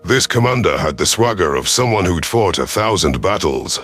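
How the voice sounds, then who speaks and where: A man's voice: sits low, expressive, normal speed, fairly bright tone. A man giving dramatic, scripted first-person narration of a science fiction audio story. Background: faint music.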